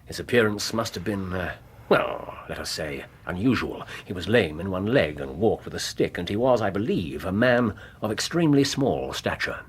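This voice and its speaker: An actor playing a shrewd, self-assured English gentleman detective in a radio drama, speaking in precise, formal, wry phrases. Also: low-pitched, sing-song, moderate pace, few pauses, slightly rough, full character voice. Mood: measured, probing.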